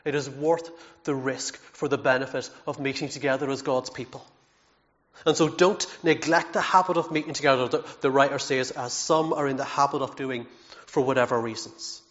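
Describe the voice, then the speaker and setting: A man's voice: medium pitch, fairly even intonation, normal speed, fairly bright tone, dull audio. A man preaching a sermon in an earnest, emphatic way, with self-deprecating asides and frequent repeated words.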